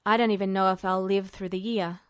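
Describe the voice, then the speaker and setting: A woman's voice: medium pitch, little pitch movement, brisk, clean tone, no room sound, clean studio sound, voice pushed down, natural voice. A woman voicing an easygoing, teasing, fatalistic teenage boy soldier in a story reading. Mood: resigned. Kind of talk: audiobook-style character reading of fan fiction.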